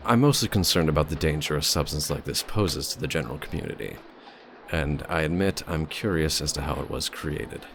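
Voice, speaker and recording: Male standoffish scientist: rock-bottom pitch, creaky edge, exacting and guarded, audio drama. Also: fairly bright tone, soft background music. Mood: cool, exacting. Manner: measured, pedantic, guarded. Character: aloof, self-assured male scientist, a powerful doctor.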